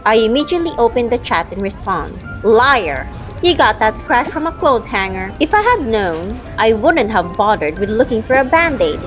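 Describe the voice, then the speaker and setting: A woman's voice: fairly high pitch, big pitch swings, moderate pace, hardly any pauses. A woman narrating a first-person audio drama, acting out her own lines of dialogue within the story. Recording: background music under the voice. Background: music.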